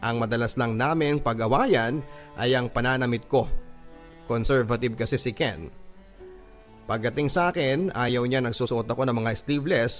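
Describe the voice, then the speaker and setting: Filipino man narrating over music, low-pitched for storytelling. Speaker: radio drama narrator reading a letter. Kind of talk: dramatic scripted first-person storytelling.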